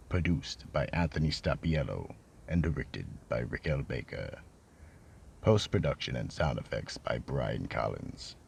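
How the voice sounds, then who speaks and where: Man as a cavernous, gravelly horror storyteller. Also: slight background noise, heavily acted. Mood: formal. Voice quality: deep, gravelly. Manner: ominous, deliberate. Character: sinister, relishing horror-show storyteller. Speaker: narrator and host. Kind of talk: theatrical scripted horror narration.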